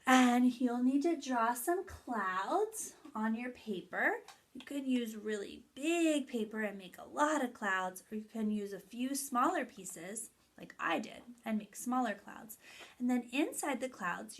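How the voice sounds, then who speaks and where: Higher-voiced woman teaching young children. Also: animated, a little slow. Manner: addresses young children in simple, step-by-step phrases with emphatic key words. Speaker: early childhood teacher hosting story time. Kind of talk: children's lesson, read-aloud and activity instructions.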